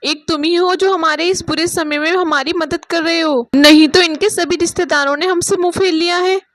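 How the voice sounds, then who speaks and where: Woman as a worried, high-voiced married sister. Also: fairly even intonation, moderate pace, a bit bright, dry recording. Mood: grateful, sorrowful. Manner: plaintive, earnest. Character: worried, hard-up married sister pleading for help. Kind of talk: Hindi cartoon moral story acting.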